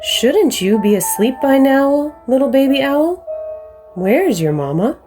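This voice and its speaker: A woman voicing a sleepy, dignified female great horned owl in a children's storybook, hooting slowly. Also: sits high, sing-song, music in the background, voice pushed up. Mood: curious.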